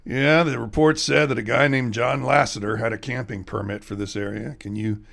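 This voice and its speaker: An actor playing a weary, plain-spoken chief park ranger in an audio drama, with a gravelly, husky male voice. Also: medium pitch, fairly even intonation, moderate pace, fairly bright tone. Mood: matter-of-fact. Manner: plain-spoken, matter-of-fact.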